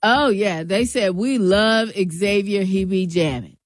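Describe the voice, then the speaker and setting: A woman's voice: mid-range voice, slow pace, dry recording. An American woman co-hosting a radio show in a studio, bantering casually and teasing as she chimes in. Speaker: radio co-host.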